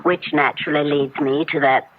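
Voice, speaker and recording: An actress playing a skeptical, inquisitive radio interviewer in a 1970s radio drama, poised and articulate. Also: female voice, low-pitched, fairly even intonation, normal speed, lightly acted. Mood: poised, probing. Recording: dull, hissy 1970s radio recording.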